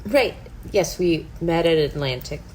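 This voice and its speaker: A woman's voice: sits low, lively intonation, a little slow, darkish tone, slight background noise, low-quality recording. A young woman chatting casually as an interview host.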